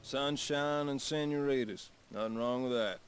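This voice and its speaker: An actor playing a practical, roguish outlaw brother of the Old West. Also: male voice, mid-range voice, normal speed, slight background noise, lightly acted. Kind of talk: cinematic Western game acting.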